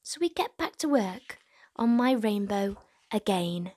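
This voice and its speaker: A woman's voice: mid-range voice, expressive, measured pace, darkish tone, breathy edge. A woman in her twenties hosting a children's storytime, reading and talking to young viewers in an encouraging way. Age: 20s. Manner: encouraging, addressing children directly. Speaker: children's storytime host and reader.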